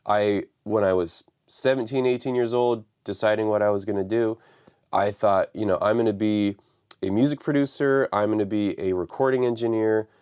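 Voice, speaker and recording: A man hosting a live stream from his home studio, raspy from the flu and talking loosely with many fillers. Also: fairly low pitch, steady tone, moderate pace, a little mellow. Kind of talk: casual live stream talk.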